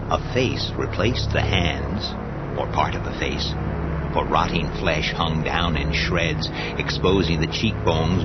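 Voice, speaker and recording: A man narrating a scripted horror story in a dramatic, deliberate manner. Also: deep voice. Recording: dull audio with faint music.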